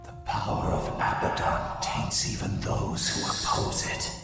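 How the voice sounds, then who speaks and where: An actor playing the noble, sorrowful spirit of a young man in a video game, earnest, with an echoing reverb. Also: measured pace. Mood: solemn. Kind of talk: dramatic video game voice acting. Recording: echoey, very loud music behind. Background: loud game score.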